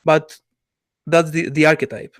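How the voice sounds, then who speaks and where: An enthusiastic man in casual chat, pausing often on fillers as he searches for words. His voice sits fairly high for a man and sounds close and dry, with almost no room. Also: steady tone, fairly bright tone, no room sound. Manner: halting, many "uh" fillers, enthusiastic. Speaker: podcast co-host, comic artist.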